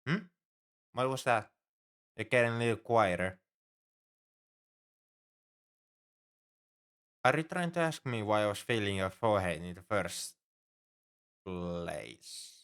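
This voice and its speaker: Casting: male actor for a worrying, devoted alien shipmate, fairly deep voice, earnest and halting, formal turns of phrase, intimate ASMR roleplay. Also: a bit bright, clean tone. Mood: flustered. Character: anxious, caring male alien crewmate on a spaceship. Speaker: ship crewmate tending a sick human.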